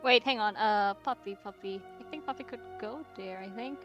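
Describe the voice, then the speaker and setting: A woman's voice: medium pitch, normal speed, dull audio. A woman chatting casually and reacting as a player in a tabletop role-playing game. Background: music.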